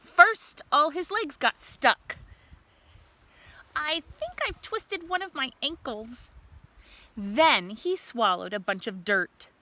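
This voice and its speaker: A woman's voice: high-pitched, expressive, takes it slowly, bright, forceful tone, pitched above the performer's own voice, heavily acted. A woman voicing a young, mischievous earthworm from a storybook, telling his diary with childlike earnestness. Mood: exasperated. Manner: earnest, childlike, simple diary-style statements.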